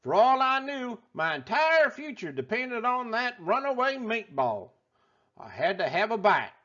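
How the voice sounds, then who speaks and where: Guy doing a folksy country diner owner telling a storybook tall tale, slow drawl, super animated, kinda muffled lo-fi audio. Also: very high voice, heavily acted. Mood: folksy, excited. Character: folksy excitable yodeling spaghetti-restaurant owner spinning tall tales. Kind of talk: animated children's storybook read-aloud.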